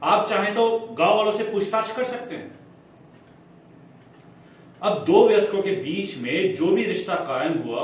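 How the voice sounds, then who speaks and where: Man acting an insinuating defence lawyer, very echoey room. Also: high voice. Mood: accusing. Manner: formal, emphatic, with deliberate dramatic pauses. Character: smooth, insinuating male defence lawyer arguing in court. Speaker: acting student performing a monologue. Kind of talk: theatrical courtroom monologue in Hindi with English phrases. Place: indoor acting class room. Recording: echoey room, distant mic, faint noise.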